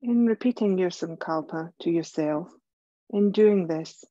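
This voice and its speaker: A woman leading a guided relaxation meditation as a yoga teacher, gentle and soothing, speaking in measured phrases with pauses. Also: on the low side, dry recording, dull audio.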